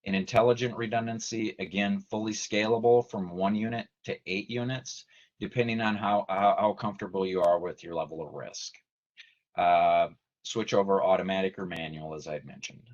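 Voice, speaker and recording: Man, deep voice, technical trainer, measured webinar explaining, dull lo-fi call sound. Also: fairly even intonation. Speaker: technical trainer, company presenter. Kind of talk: explanatory webinar presentation, semi-scripted. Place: online video meeting. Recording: dull lo-fi video call audio.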